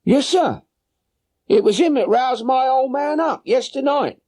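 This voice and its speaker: Here is a stout working-class London woman from an audiobook story, pitched very high. She is chatty, deferential and flustered, with plain-spoken speech and a lively swoop to her voice. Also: unhurried, full character voice. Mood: chatty, obliging. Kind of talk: audiobook character voice.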